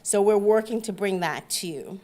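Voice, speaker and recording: A woman's voice: medium pitch, normal speed, warm tone, slight background noise. A woman hosting an event, giving upbeat, welcoming opening remarks. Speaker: event host.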